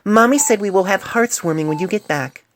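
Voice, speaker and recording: An affectionate small pony child, performed by a woman, speaks in a high, loud, earnest voice with simple childish phrasing. It is an amateur story reading on a noisy phone recording. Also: brisk, lightly acted. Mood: eager, loving. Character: eager, affectionate little pony foal child. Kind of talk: fan fiction audio story, letter read aloud.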